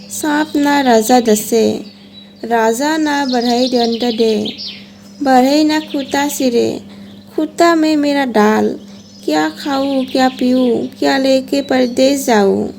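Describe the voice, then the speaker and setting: Woman voicing a high-pitched pleading little bird. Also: slow pace, gravelly, darkish tone, a little hiss or hum, full character voice. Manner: chanting, sing-song repeated pleas. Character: small hungry female bird, pleading in repeated rhyme. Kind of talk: children's cartoon moral story.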